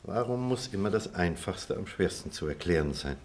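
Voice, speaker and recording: An actor playing a reflective middle-aged former pupil, telling memories in a measured, expressive radio-play style. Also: male voice, sits low. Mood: earnest. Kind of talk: theatrical radio play dialogue and storytelling.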